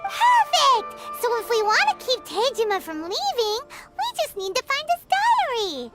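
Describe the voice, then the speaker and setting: A woman voicing a tiny, excitable floating girl companion in a game dub, chirpy and quick to exclaim. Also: very high voice, exaggerated voice. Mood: eager. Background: game music.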